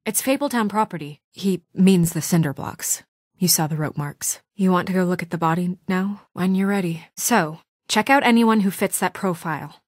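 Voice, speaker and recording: A woman's voice: lowish voice, no echo, lightly acted. Composed, caring woman official, very dry sound, played by an actress. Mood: businesslike. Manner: earnest, measured, diplomatic. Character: composed, caring, firm young woman official in a fairy-tale community. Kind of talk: naturalistic video game voice acting.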